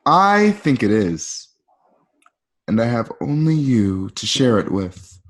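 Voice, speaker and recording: Man acting a slow, brooding Roman emperor. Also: medium pitch, sing-song. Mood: brooding, intimate. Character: brooding, menacing young Roman emperor, possessive of his sister. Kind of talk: amateur radio drama acting.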